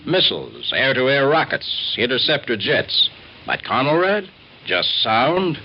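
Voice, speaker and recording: An actor playing a keen American roving reporter in a 1950s radio docudrama, speaking in declamatory, clipped phrases. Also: male voice, medium pitch, sing-song, measured pace, a little hiss or hum. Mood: keen, conversational. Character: keen, earnest male roving newspaper reporter. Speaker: narrator and reporter in a radio drama. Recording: muffled vintage radio recording with music.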